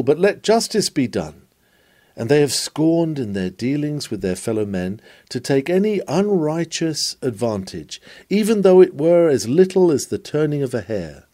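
Male reader, dark mellow voice, solemn declamatory sermon delivery with swells and hushed drops. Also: a little high. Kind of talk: reading a Victorian sermon aloud.

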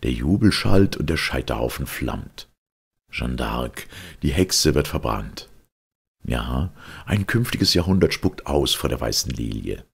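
Very deep, gravelly male storyteller. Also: big pitch swings, unhurried. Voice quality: gravelly, husky. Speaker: audiobook narrator. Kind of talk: dramatic literary story narration.

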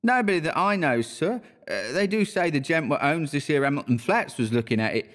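A man's voice: a little high, expressive, brisk, a bit bright, voice pushed up. A man voicing a young Cockney bellboy who talks deferentially, adding sir to every line. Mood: eager. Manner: deferential, keeps saying sir.

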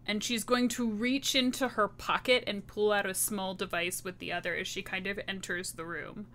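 A woman player describes what her character does in a tabletop game. Her voice is bright and sits at a medium pitch. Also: steady tone, moderate pace. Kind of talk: narrating her character's actions.

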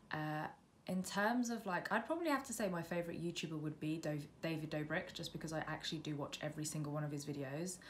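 Female vlogger, mid-20s, British, low register, informal and self-deprecating chat, recorded by a window with light road noise. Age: mid-twenties. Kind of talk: casual chat to camera, Q&A. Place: indoors, bedroom at a window facing a road. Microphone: camera on windowsill, about arm's length. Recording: noisy room by a busy road. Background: noise, passing traffic.

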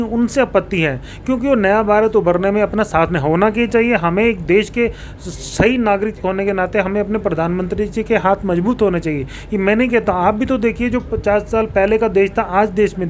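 A man's voice: high voice, brisk, few pauses. A man, answering formally as a local politician and explaining his points with English terms mixed in. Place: small indoor office, seated on a sofa. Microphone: handheld reporter mic held toward him. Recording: clear background room noise.

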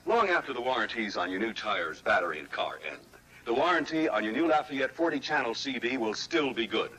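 A man with an American accent making a scripted pitch as announcer in a 1970s television commercial. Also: sing-song, a little fast, bright, forceful tone, low-quality recording.

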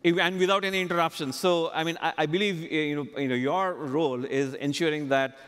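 Male conference host, 40s, slightly high register, stage interview and presentation, headset mic with big-hall reverb. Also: steady tone, a little fast, fairly bright tone, smooth. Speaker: keynote host interviewing a customer. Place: large conference stage, indoors.

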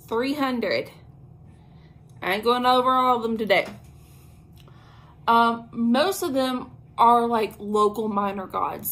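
A young woman talks casually into a camera in a small bedroom, her voice sitting a little high. She rambles with plenty of ums and slang while explaining her topic, over a faint hiss of room ambience. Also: a bit bright. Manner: chatty and informal, lots of "um" and slang asides. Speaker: host of a witchcraft talk channel. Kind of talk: casual spoken lesson to camera. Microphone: camera at arm's length.

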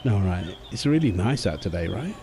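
A man's voice: deep voice, sing-song, moderate pace, mellow, slight background noise. A man playing a doting young husband and new father, tender and playful, in an ASMR boyfriend roleplay. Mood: easygoing, caring.

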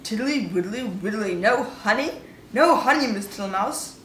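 A woman's voice: mid-range voice, expressive, measured pace, fairly bright tone, voice pushed up. A woman voicing a big, toothless, cheerfully pushy old male toad who wheedles and repeats himself. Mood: wheedling. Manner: wheedling, repetitive, smug. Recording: roomy with faint hiss.

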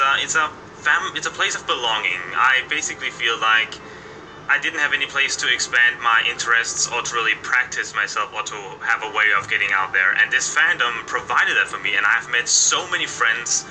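A man's voice: mid-range voice, brisk, sharp tone, slight background noise, poor audio. A man giving a casual, earnest personal testimonial, searching for his words.

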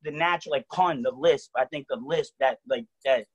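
A man's voice: mid-range voice, moderate pace, breathy edge. A man who raps, with a New York accent, talking loosely in slang on a video call. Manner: relaxed slangy talk, "you know what I mean". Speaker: rapper guest. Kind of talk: casual video call chat.

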